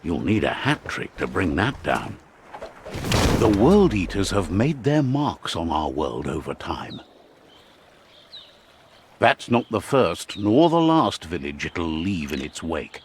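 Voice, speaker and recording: An actor playing a whimsical, wise storyteller who narrates an animal fable in a video game with theatrical, philosophical delivery. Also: male voice, deep voice, very animated, takes it slowly, noisy background, full character voice. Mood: wry, solemn.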